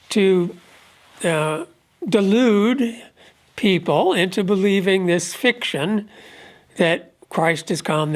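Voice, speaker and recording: Congested man in a halting monologue. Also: high-pitched. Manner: measured, halting delivery with frequent pauses. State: congested with a cold, stuffed up. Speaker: religious commentator. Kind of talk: unscripted monologue on prophecy.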